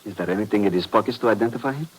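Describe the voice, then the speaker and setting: An actor playing a secret agent's cautious, questioning partner, speaking precisely and formally in a fifties radio drama. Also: male voice, lowish voice, rapid-fire, a little hiss or hum, close to an everyday voice, low-quality recording. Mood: alert, concerned. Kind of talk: 1950s radio drama acting. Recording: vintage radio recording, background murmur.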